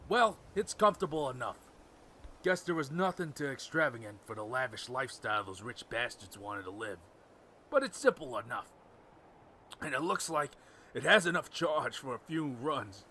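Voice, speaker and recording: A man's voice: medium pitch, expressive, moderate pace, sharp tone, slight background noise. An actor playing a defiant male outlaw on the run in an audio drama, sardonic and wisecracking. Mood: wry musing.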